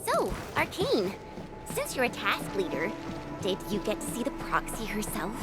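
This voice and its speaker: A woman playing an excitable, curious and eager young female squad member in a video game. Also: mid-range voice, moderate pace. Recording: loud game music behind the voice. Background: loud game soundtrack.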